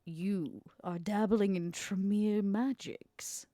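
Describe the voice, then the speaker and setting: A woman playing a shrewd female vampire smuggler in a tabletop game, bargaining in a deliberate, persuasive way. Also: medium pitch, fairly even intonation, dark tone, dry recording, studio quality, lightly acted. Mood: teasing, intrigued. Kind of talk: in-character tabletop roleplay.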